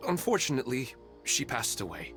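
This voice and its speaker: Game role, male actor: soft-hearted widower, courteous, hesitant, very expressive line readings. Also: mid-range voice, brisk, natural voice. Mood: wistful. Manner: polite, hesitant. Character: gentle, wistful widowed man, polite and hesitant. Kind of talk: video game character acting. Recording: music behind the voice.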